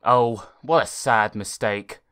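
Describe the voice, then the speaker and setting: A man's voice: mid-range voice, takes it slowly, fairly bright tone, clear, resonant tone. A man voicing a stern, bossy talking computer. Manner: bossy, warning.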